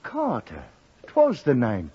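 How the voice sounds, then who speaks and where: An actor playing a simple, remorseful condemned cattle thief with a husky voice in a 1950s radio drama. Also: male voice, medium pitch, huge pitch swings, slow, heavily acted. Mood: gloomy resignation. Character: doomed male cattle thief, resigned, simple, remorseful drinker. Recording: muffled vintage 1950s radio recording.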